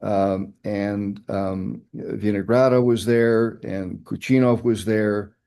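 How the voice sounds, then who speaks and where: Gravelly low-voiced older man. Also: fairly even intonation, slow pace, dark tone. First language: English. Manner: reflective storytelling, emphatic asides. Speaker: interviewee, nuclear fuel executive. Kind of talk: oral history interview.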